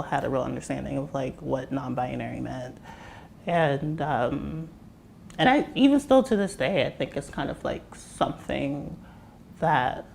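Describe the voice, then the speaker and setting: A guest assigned male at birth, with a high-pitched voice, answering an interview in a hesitant way full of fillers. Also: vocal fry, a little hiss or hum. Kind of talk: candid conversational interview answer.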